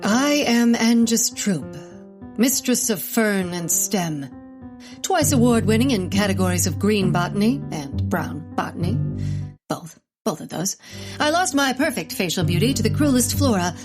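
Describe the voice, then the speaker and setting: A woman's voice: mid-range voice, animated, measured pace, over music, lightly acted. An actress playing a proud, formal, melodramatic lady botanist, declaiming solemnly in a comic audio drama. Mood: solemn pride.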